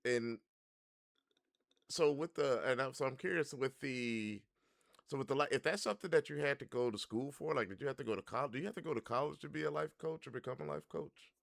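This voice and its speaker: A man's voice: medium pitch, brisk, vocal fry, no room sound, clean studio sound. A man hosting a podcast, chatting casually and playfully with his guest, often laughing. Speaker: podcast host. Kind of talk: casual interview chat.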